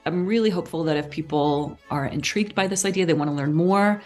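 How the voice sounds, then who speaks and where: Female podcast guest, notably low register, casual conversational delivery, light music bed. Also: fast talker, darkish tone. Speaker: podcast guest, theatre artistic director.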